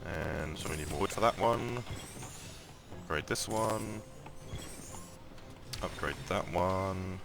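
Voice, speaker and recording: Croaky low-voiced man thinking aloud. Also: takes it slowly, long pauses, over music. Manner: thinks aloud, rambling with many ums and restarts. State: croaky morning voice. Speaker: mobile game let's-play host. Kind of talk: casual gameplay commentary.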